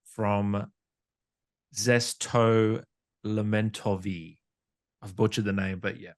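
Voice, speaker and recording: A man's voice: fairly low pitch, steady tone, slow pace. A man hosting a casual interview, putting questions to his guest in a chatty way. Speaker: interview host reading fan questions.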